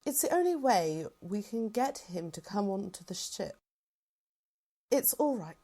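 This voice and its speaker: A woman's voice: mid-range voice, very animated, measured pace, warm tone. A woman playing a composed, reassuring and formal rebel general in a fan-made sci-fi roleplay.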